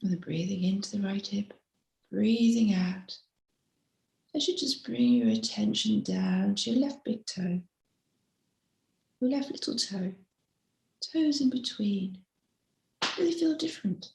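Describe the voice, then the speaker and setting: A woman mindfulness teacher leading a slow guided meditation in a gentle, unhurried, instructive way. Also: mid-range voice, animated, gravelly. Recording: roomy, echoey sound.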